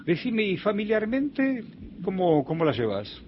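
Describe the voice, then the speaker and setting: A man with an Argentine accent hosting a radio interview, chatty with quick interjections and questions. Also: high voice, wildly swooping pitch, a little hiss or hum. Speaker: radio host. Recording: dull radio studio sound.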